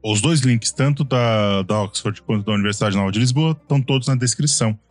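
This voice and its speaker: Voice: mid-range voice, fairly quick, soft background music. Interview host asking for recommendations. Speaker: podcast host. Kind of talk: interview hosting.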